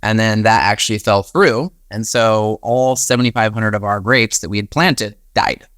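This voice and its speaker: A man's voice: lowish voice, fairly even intonation, normal speed, fairly bright tone, no echo. A young American man telling stories in a chatty, conversational way as an interview guest, often acting out his own thoughts as quotes. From United States. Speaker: podcast guest, winery and wedding venue manager.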